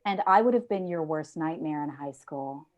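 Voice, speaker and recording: A woman playing a scornful, sharp-tongued office coworker with biting sarcasm in a sitcom table read. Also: low-pitched, expressive, normal speed. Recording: dull lo-fi video call.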